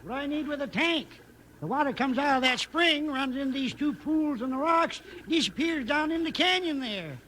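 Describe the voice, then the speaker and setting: High, cackly old prospector. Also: male voice, animated, normal speed, bright, forceful tone, heavily acted. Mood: crotchety, folksy. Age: older. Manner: folksy, rambling, mishearing, chuckling frontier talk. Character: cheerful old hard-of-hearing prospector hermit, rambling and cantankerous. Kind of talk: 1950s western radio acting. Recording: noisy vintage 1950s radio recording.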